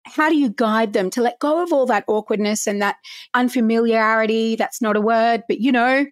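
A woman host with a high voice who asks curious, blunt questions. She keeps the chat moving with short "mm-hmm"s and "yeah"s. The sound is dry and close to the mic. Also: normal speed, dry recording. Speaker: podcast host. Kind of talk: casual interview chat.

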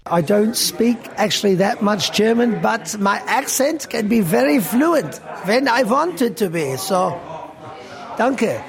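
A man's voice: sits high, lively intonation, takes it slowly, gravelly, a crowd in the background. A man in his fifties, a band musician, chatting casually and rambling with lots of fillers and laughs. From Australia. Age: 50s. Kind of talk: casual interview chat. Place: busy beer hall restaurant.

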